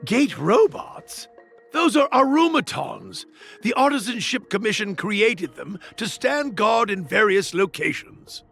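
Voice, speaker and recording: High-voiced, theatrical master artisan. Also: male voice, big pitch swings, a little slow, vocal fry, a bit bright, slightly rough, soft background music, exaggerated voice. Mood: courteous, explaining. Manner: theatrical, florid archaic phrasing. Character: flamboyant, earnest male master artisan, theatrical old-fashioned talker. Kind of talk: video game voice acting.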